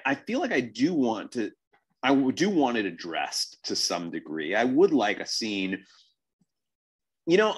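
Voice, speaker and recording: A man in a casual podcast discussion, thinking aloud in a halting, wordy, questioning way. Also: medium pitch, husky. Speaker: podcast host.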